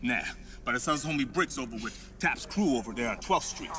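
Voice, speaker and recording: An actor playing a blunt, offhand, mocking patrol cop in a video game. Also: male voice, mid-range voice, expressive, a little fast, over music, lightly acted.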